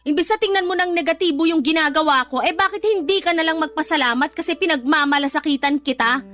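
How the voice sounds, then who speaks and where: An actress playing an exasperated, scolding but concerned older sister in a radio drama. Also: female voice, sits high, fast talker, fairly bright tone. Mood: exasperated, pleading. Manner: scolding, emphatic. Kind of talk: Filipino radio drama dialogue.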